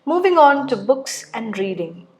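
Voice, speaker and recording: A woman conducts a formal speaking test, reading her questions in a measured, scripted way. Her voice sits a little high. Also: big pitch swings, darkish tone. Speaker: examiner.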